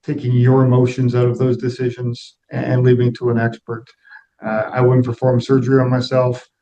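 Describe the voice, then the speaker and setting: A middle-aged man speaks as an interview guest in a conversational register. His delivery is reflective and plain-spoken, with little rise and fall in pitch. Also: completely flat delivery, normal speed, dark tone, highs cut off, slight background noise. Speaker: interview guest, aviation executive.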